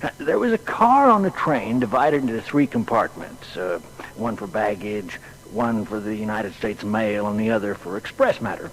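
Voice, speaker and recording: A man's voice: lowish voice, sing-song, moderate pace, low-quality recording. An actor playing a folksy, plain-spoken man who hesitantly recalls his boyhood friend in a dramatised biography. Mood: matter-of-fact recollection. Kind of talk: dramatised witness reminiscence.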